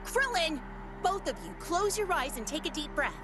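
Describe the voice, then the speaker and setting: A woman voicing a clever, confident, take-charge character in an anime video game, expressive, with music behind. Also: very high-pitched, moderate pace, background noise.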